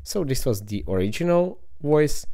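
A man's voice: on the high side, sing-song, moderate pace, mellow. A man hosting a screen-recorded app tutorial, explaining steps as a voice-over. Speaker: tutorial host.

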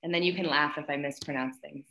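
A woman's voice: low-pitched, fairly even intonation, quick pace, bright, forceful tone, echoey room, poor audio. A woman in her thirties hosting a student poetry reading over a video call, with an encouraging manner. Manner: encouraging, praising. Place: plain indoor room, on a video call.

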